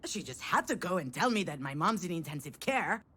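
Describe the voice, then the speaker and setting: A woman's voice: fairly low pitch, very animated, a little fast, sharp tone, slightly rough, full character voice. An actress playing a flustered, sardonic woman in a video game, with a wry, self-deprecating and hesitant manner. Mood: flustered, defensive. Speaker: voice actor playing the lead character. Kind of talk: acted game dialogue with inner-monologue narration.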